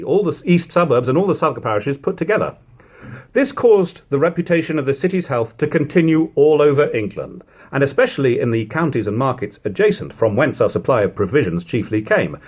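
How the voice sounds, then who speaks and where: Man reading literature aloud, swooping expressive pitch. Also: husky. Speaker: reader of a classic book. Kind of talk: audiobook-style literary narration.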